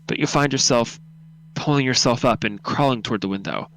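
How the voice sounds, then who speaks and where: A man's voice: medium pitch, brisk, a bit bright, poor audio. A man narrating a second-person horror story as a live radio drama read-through.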